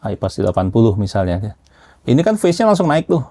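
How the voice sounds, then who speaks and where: A man's voice: lowish voice, sing-song, fast talker, mellow. A man casually explaining technical matters as an expert, often checking understanding with short tag questions. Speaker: audio system engineer being interviewed as an expert.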